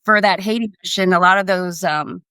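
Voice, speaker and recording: A woman's voice: on the low side, fairly even intonation, brisk, sharp tone, dry recording, studio quality. A middle-aged woman speaking as an instructor, explaining things casually in an interview. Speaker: air traffic control instructor.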